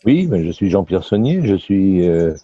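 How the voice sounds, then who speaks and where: A man's voice: deep voice, sing-song, moderate pace, dark tone, husky, no room sound. An older retired man, a guest joining an interview remotely, speaking in a light-hearted, wry way. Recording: dull lo-fi call audio, dry.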